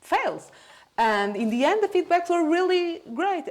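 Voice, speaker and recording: A woman's voice: very high voice, big pitch swings, measured pace. A woman hosting an interview in English, which is not her first language, speaking enthusiastically with small grammar slips. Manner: warm, enthusiastic, non-native grammar slips. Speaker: podcast host. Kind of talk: casual interview.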